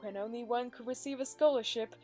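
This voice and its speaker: A woman's voice: a little high, fairly quick, a little mellow, faint music behind, voice pushed up, natural voice. A woman voicing a proud older mother mare giving a gracious, formal speech in a cartoon fan reading.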